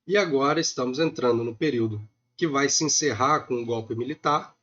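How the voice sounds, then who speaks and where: A man with a Brazilian accent gives a recorded online economics lecture, a little hoarse from a cold, talking informally with many filler sounds. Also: medium pitch, fairly quick, a bit bright, lo-fi sound. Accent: Brazilian Portuguese.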